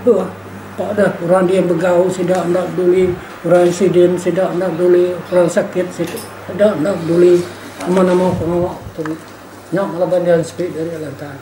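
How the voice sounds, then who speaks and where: A man's voice: sits high, level tone, moderate pace, mellow. An older man, speaking informally and emphatically as an interviewee, often repeating himself and adding questioning tags. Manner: emphatic, repetitive, with rhetorical tag questions. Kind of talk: informal spoken reflection. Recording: roomy echo, faint background noise.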